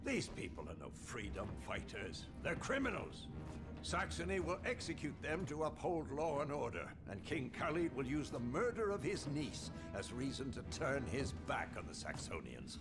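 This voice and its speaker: Stern, domineering military patriarch. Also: male voice, medium pitch, huge pitch swings, moderate pace, over music. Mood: scornful. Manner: commanding, rhetorical, forceful phrasing. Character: stern, domineering military father and schemer, male, middle-aged. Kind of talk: video game cutscene acting.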